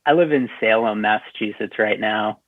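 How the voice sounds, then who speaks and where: A man's voice: lowish voice, normal speed, dry recording. An American man from southern New Hampshire chatting casually as a podcast guest over a thin line. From southern New Hampshire, USA. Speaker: podcast guest, punk band musician. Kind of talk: casual chat. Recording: thin phone-like line, dry.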